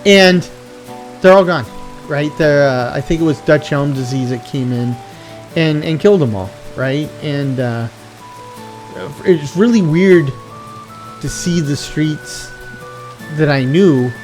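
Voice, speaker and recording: A man's voice: on the high side, slow, long pauses, a little mellow, faint music behind. A middle-aged American man chatting casually and at length, wandering off track and correcting himself as he talks. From USA. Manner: meandering, self-correcting, reflective. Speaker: video game streamer. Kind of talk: rambling casual chat.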